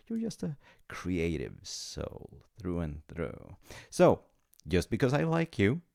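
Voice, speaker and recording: A man performs a chummy supernatural clerk of the afterlife for a comedic audio roleplay. The voice is low and creaky, swinging freely in pitch. He pitches deals in loose, hesitant, informal patter and bends rules like an old pal. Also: a little mellow, heavily acted. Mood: teasing, conspiratorial. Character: chummy, rule-bending afterlife reincarnation agent, male supernatural being.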